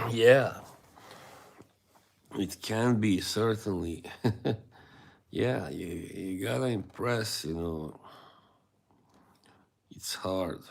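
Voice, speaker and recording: Man, very low voice, rambling live-stream chatter, frequent chuckles. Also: expressive, extremely slow, gravelly, pauses often, fairly bright tone. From Bosnia and Herzegovina. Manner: rambling and hesitant, chuckling often. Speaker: livestream host. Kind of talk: casual live-stream chat, replying to viewers.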